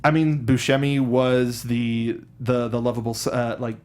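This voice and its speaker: A male co-host talks through movies in a conversational style at a medium pitch. He is animated and slips into acted-out quotes as he makes his points. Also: normal speed. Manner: animated, acts out imagined quotes. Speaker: co-host of a movie discussion show.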